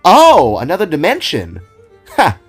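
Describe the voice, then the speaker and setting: Kindly mother-owl baker voiced by a man, welcoming and lively, audio-drama style over music. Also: fairly high pitch, a little slow, husky, voice pushed up, heavily acted. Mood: amused. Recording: music behind the voice.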